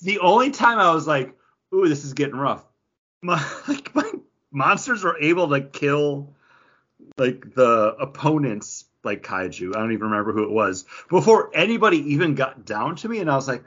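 A man co-hosting a podcast, chatting casually about a mobile game in a rambling, animated way. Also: a little high, moderate pace, breathy edge, dull audio. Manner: rambling, animated, acts out his own reactions. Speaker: podcast co-host.

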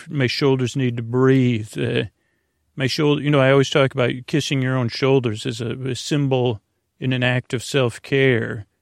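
A man talks as the host of a bedtime podcast in a notably creaky, mid-pitched voice. He rambles in a soothing, gently whimsical way, often stammering and drifting into tangents. The sound is dry, with no room echo. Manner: halting, stammering, trailing into tangents. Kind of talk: rambling, meandering sleep-podcast monologue.

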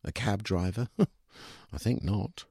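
A man reads literary fiction in the first person, his voice on the low side and slightly rough. The delivery swings widely, aggrieved and self-justifying with a dry edge. The recording is close and dry, with no room sound. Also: lively intonation, slow pace, warm tone, dry recording. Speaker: short story narrator.

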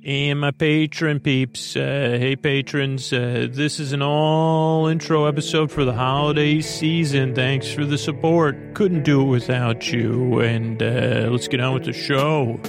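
A man hosting a bedtime podcast in a creaky, gravelly voice, meandering and stammering through a soothing monologue meant to lull listeners to sleep. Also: mid-range voice, steady tone, a little slow, hardly any pauses, music in the background. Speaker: podcast host.

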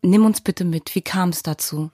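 Lowish-voiced woman hosting, very dry sound. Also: moderate pace, warm tone, no room sound. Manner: playful, informal. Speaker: podcast host. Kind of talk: chatty interview hosting. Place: studio.

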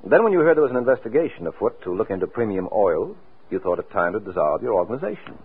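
An actor playing a quick-witted, self-assured, flirtatious American private detective in a 1940s radio drama, wry and smooth-talking. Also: male voice, on the low side, big pitch swings, full character voice. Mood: confident, wry. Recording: tinny, muffled old radio recording, music.

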